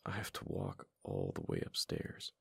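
A man playing a tired, affectionate husband in a boyfriend ASMR audio roleplay, talking in a casual, halting, mildly exasperated way with a deep, gravelly voice. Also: huge pitch swings, a bit bright, no room sound, studio quality, heavily acted. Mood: weary, complaining. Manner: casual, halting, sighing, intimate.

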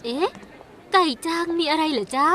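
A woman's voice: high voice, expressive, normal speed, noisy background. A woman playing a cheerful, easily amused female schoolteacher in a cartoon dub. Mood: curious. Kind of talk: Thai cartoon dubbing. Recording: loud music behind.

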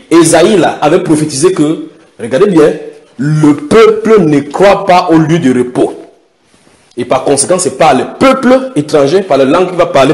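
Emphatic preacher, a man. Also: fairly high pitch. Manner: emphatic, questions the audience, drawn-out stresses. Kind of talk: sermon.

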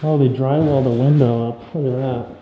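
A man's voice: mid-range voice, fairly even intonation, a little fast, muffled recording, a little hiss or hum. A man hosting an exploration vlog, walking through a decaying abandoned building and remarking in awe at what he finds. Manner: awed, commenting on what he sees. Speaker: urban explorer host.

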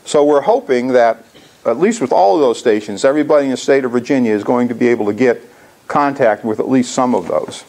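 A man's voice: mid-range voice, fairly quick, a little mellow. An American man in his sixties explaining things casually as he presents slides to a club in a lecture hall. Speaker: contest organizer presenting to a club.

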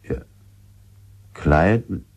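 An actor in a German radio drama playing a bitter, crude village husband under suspicion, husky and defensive in his halting way of talking. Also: a little mellow. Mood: evasive.

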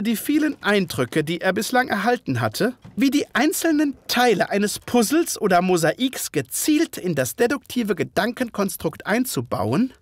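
An actor playing a loyal, puzzled gentleman narrator in a detective audio drama, with measured, formal, literary phrasing. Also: high-pitched, no echo, full character voice.

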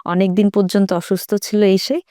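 A woman's voice: medium pitch, flat delivery, brisk, mellow, no echo. Bangladeshi woman telling stories to friends, bits of english mixed in, dry close sound. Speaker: participant in a group chat. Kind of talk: casual anecdotal storytelling.

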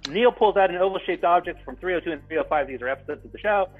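A man's voice: high-pitched, very fast. A man reading script directions aloud at a live table read, informal, with asides. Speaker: stage-direction reader. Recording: dull, lo-fi sound, faint music behind.

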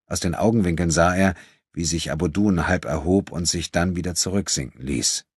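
A man's voice: low voice, moderate pace, breathy edge, no echo, clean studio sound. A man reading a novel as a scripted, dramatic audiobook narration.

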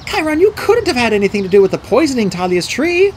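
A man's voice: very high-pitched, huge pitch swings, moderate pace, fairly bright tone, slight background noise, pitched above the performer's own voice, exaggerated voice. A man voicing an anxious, passionate young girl in an audiobook, with urgent, emotional delivery. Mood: indignant. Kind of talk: audiobook character dialogue.

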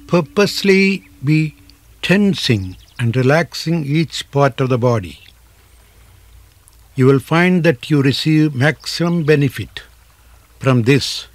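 A man narrating a guided relaxation as a yoga teacher, in measured, deliberate phrases with frequent pauses. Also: medium pitch, no echo.

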